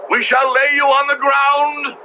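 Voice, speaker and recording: An actor playing a fanatical, merciless Puritan judge in an old radio drama, declaiming in pompous, archaic preacher's speech. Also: male voice, very high-pitched, level tone, a little slow, gravelly, full character voice. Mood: thundering condemnation. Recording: noisy, dull old radio drama recording.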